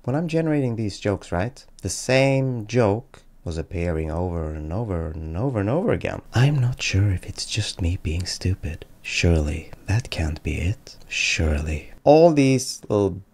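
A man's voice: low voice, sing-song, moderate pace, few pauses, a little mellow. A man in his thirties presenting a casual tutorial with dry, tongue-in-cheek delivery, close to a desk microphone indoors. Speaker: tech YouTuber and presenter. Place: small dim home room, indoors. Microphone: close boom-arm desk microphone.

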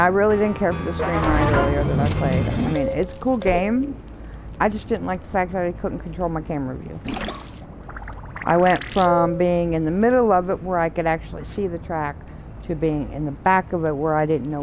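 A middle-aged woman streaming a game from home on a desk mic, talking softly in meandering, complaining asides. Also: lowish voice, normal speed. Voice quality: soft voice. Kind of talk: rambling casual commentary. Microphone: desk mic with headphones. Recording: loud game music behind voice. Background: game music.

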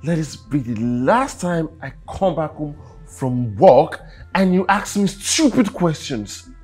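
A man's voice: a little high, animated, slow, darkish tone, slightly rough, faint music behind, exaggerated voice. An actor playing an arrogant husband who mocks and dismisses his wife, often stammering when agitated. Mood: scornful.

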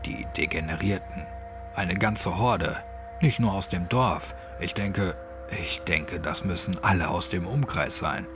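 A man's voice: deep voice, unhurried, over music, voice pushed down. A man voicing an anxious, stunned man with a hesitant, toneless delivery in a horror audiobook. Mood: toneless dread.

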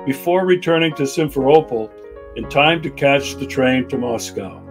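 A man narrating a travel documentary in a calm, measured way. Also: medium pitch. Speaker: narrator and interviewer. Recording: voice-over with loud background music.

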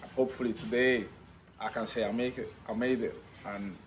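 A man's voice: medium pitch, fairly even intonation, a little fast, a little hiss or hum. A man in his twenties from Spain, with a Spanish accent and plain, non-native English, talking eagerly in an interview in a stadium's stands. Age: 20s. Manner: enthusiastic, non-native English with simple phrasing. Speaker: footballer. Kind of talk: post-signing interview. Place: outdoor football stadium stands.

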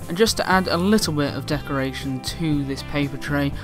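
A young man talks through a woodworking build step by step in an even, calm voice-over. Clear background music plays beneath his narration. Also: on the high side, a little fast. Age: young adult. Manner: calm, explains each step plainly. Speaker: woodworking channel host.

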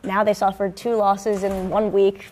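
A woman's voice: mid-range voice, flat delivery, a little slow, a little mellow, low-quality recording. A young American woman co-hosting a student sports show in a conversational commentary style, on a lapel mic. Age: young adult, early 20s. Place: indoor campus TV studio at a desk.

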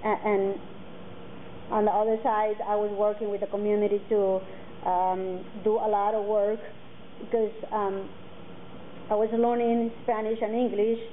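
A woman in her forties, a native Mixtec speaker, speaking earnestly to students and halting often with fillers and restarts. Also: mid-range voice, flat delivery. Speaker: guest speaker. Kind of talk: personal talk to students. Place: classroom with curtains, indoors. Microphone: table mic on a stand. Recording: tinny phone-like sound, faint noise.

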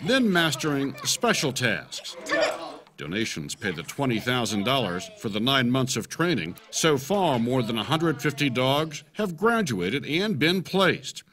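A man's voice: medium pitch, very animated, measured pace, fairly bright tone. An American man in his sixties narrating a scripted television news feature as a correspondent.

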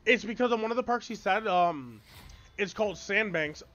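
A man in his late twenties streaming a game and chatting casually, excitable and swearing freely while talking over his friend. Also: high-pitched, normal speed, lo-fi sound. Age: 20s. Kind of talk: casual chat while gaming. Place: small bedroom with colorful wall.

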